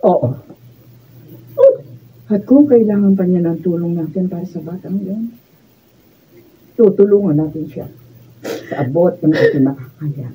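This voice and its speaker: A woman acting a resigned, forgiving mother in a Filipino radio drama, speaking in a gentle, solemn, measured way. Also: on the low side, a little hiss or hum, lightly acted, low-quality recording. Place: radio drama recording studio.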